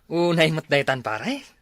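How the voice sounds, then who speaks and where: A man's voice: on the high side, fairly bright tone, no echo, exaggerated voice. A man acting a teasing workmate in an Ilocano radio drama, with an Ilocano accent. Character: teasing male workmate, questioning his late friend.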